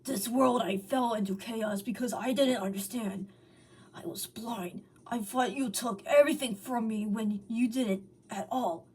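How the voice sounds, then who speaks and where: A woman's voice: on the high side, a little mellow, breathy edge, recorded from a distance. Woman playing a broken, anguished hedgehog villain. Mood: ashamed. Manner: anguished, halting confession. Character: remorseful fallen hedgehog villain, a once-fierce beast now broken. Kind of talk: dramatic reading of a fan horror story.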